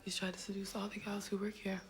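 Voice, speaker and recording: An actress playing a gossipy, eager bank secretary, prim and confiding, in a period drama. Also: female voice, medium pitch, little pitch movement, fast, close to an everyday voice. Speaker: actor.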